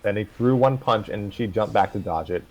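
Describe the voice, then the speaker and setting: A man's voice: lowish voice, normal speed. A man reading a fan story aloud on a livestream, casually, with stumbles and asides.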